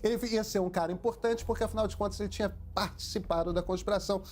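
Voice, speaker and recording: A man explains history in an animated documentary interview, now and then acting out what people said. His voice is high yet mellow in tone. A faint hum sits in the background. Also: fast. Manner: animated, explanatory, acts out quotes.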